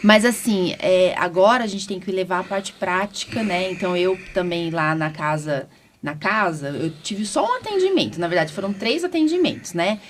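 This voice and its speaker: A woman's voice: fairly low pitch, animated, normal speed, slight background noise. A Brazilian woman, a doctor hosting a podcast, talking casually and informally with frequent tag questions. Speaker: podcast host and allergist doctor.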